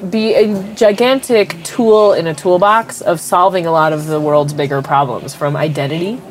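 A congested woman with a lowish voice talks through a tech idea in an interview, leaning on simple comparisons. She fills gaps with ums as she goes. A faint hum of noise sits under her. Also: fairly even intonation, moderate pace. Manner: explains with everyday analogies, frequent ums. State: stuffed up from a cold. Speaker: technology company executive guest. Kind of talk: explanatory interview answers.

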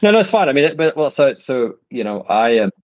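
Fast-talking South African man, lo-fi. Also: mid-range voice, sing-song, darkish tone. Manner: rambling, repeats words, fast restarts. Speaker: podcast host. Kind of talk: casual interview chat.

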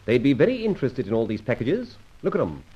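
An actor playing a suave gentleman adventurer in a radio drama, urbane and teasing, with a theatrically polite manner and a husky voice. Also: medium pitch, huge pitch swings, fast. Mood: mocking, playful. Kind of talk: old radio drama acting. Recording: dull vintage radio recording.

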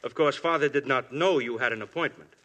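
A man's voice: mid-range voice, a little fast, fairly bright tone, natural voice. A man playing a police inspector in a 1950s radio drama, polite but relentless in his questioning. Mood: probing. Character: persistent male police inspector. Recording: old radio broadcast.

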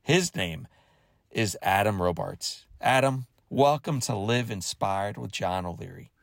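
A man's voice: on the low side, unhurried, vocal fry, dry recording, clean studio sound. A man hosting an inspirational podcast, speaking in an earnest, emphatic, motivational way. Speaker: podcast host.

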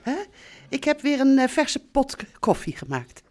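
A woman's voice: sits high, big pitch swings, normal speed, a little mellow, slight background noise. A woman playing a fond but exasperated middle-aged mother in a Dutch radio drama. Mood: coaxing, cheery.

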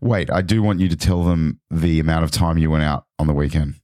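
A hoarse British man with a sore throat, chatting and teasing as a guest on a podcast, with many restarts. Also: extremely low pitch, a little fast, mellow, no echo, clean studio sound. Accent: British English. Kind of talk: casual chat.

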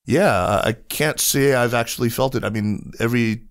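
A man's voice: medium pitch, moderate pace, creaky. A man speaking as a podcast guest in casual conversation, reflective, with frequent restarts and fillers.

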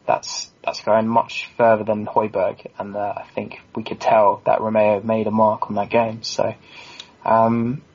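A man's voice: lowish voice, completely flat delivery, unhurried, darkish tone. A man on a football podcast panel, talking casually and earnestly in an analytical way. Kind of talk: casual sports discussion. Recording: dull, lo-fi voice recording.

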